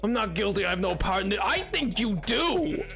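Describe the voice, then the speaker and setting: A man streaming a game and chatting casually with his viewers, excitable and rambling, with many restarts and sudden yelps. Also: sits high, brisk, gravelly, faint music behind. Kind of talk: casual live-stream chat.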